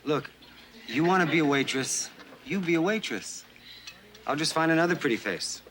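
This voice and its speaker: An actor playing a curt, impatient restaurant manager. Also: male voice, on the high side, lively intonation, moderate pace, noisy background, close to an everyday voice. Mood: brusque. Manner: curt and dismissive. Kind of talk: sitcom acting.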